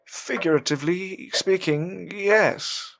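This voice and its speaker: A man playing an earnest, anxious upper-class gentleman learning magic in an audio drama, speaking politely and formally with a hesitant stammer. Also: high voice, slow, creaky, noisy background, heavily acted. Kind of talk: audio drama acting with narrated reflections. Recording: voice over prominent background music.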